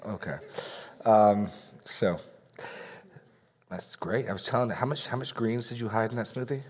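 A man with a fairly low voice leads a Bible study in a church classroom. He talks casually and loosely, often restarting sentences and slipping in small jokes between points. The tone stays informal and conversational. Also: normal speed, darkish tone. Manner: rambling, self-correcting, joking asides. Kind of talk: casual teaching with Q&A.

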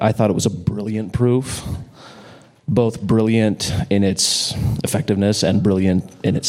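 A man speaking up in a Bible study group, giving his thoughts in measured, deliberate phrases. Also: on the low side, darkish tone. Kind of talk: answering in group discussion.

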